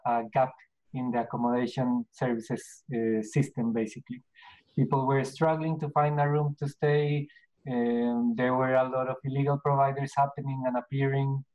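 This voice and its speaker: A middle-aged man from Colombia with a Colombian Spanish accent, answering interview questions in a casual, halting way with many restarts and fillers. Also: mid-range voice, a little mellow, highs cut off. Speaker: podcast guest, business owner.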